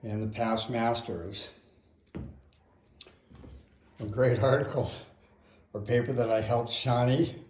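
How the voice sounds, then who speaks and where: A grandfather in his seventies, casually showing his home music memorabilia in a darkened room, fond and unhurried. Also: mid-range voice, fairly even intonation, darkish tone, reverberant, slight background noise. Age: 70s. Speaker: grandfather hosting a family music event. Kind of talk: casual home video tour.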